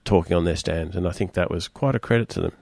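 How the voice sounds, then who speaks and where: A man hosting a technology radio show, chatting casually with a croaky, hoarse voice and rambling on animatedly. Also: low-pitched, no echo, studio quality. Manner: rambling, animated, quick-talking.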